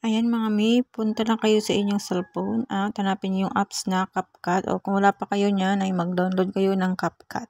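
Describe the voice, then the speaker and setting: Filipino woman, even-toned and softly mellow. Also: medium pitch, moderate pace, hardly any pauses, no room sound, clean studio sound. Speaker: vlogger giving a phone editing tutorial. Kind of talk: casual step-by-step tutorial.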